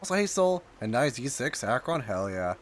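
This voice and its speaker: A man's voice: mid-range voice, big pitch swings, normal speed. A man doing casual, informal live reaction commentary on a game and chatting to viewers.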